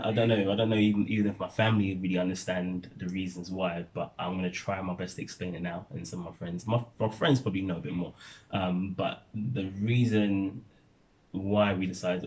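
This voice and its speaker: A young man from Nigeria telling stories in relaxed casual chat, laughing often as a vlogger. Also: sits low, moderate pace, poor audio.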